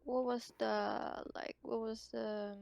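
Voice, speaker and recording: A woman's voice: on the high side, flat delivery, slow, gravelly, darkish tone, no echo. A woman chatting casually as a player in a tabletop game.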